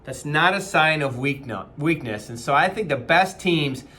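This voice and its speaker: A man in his forties speaks earnestly to the camera from a small office, his voice bright and forceful and sitting a bit high. He talks casually and motivationally, with plenty of ums, uhs and restarts as he works through his points. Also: expressive, normal speed. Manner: earnest, conversational, frequent fillers and restarts. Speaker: company leader. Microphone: webcam at desk distance.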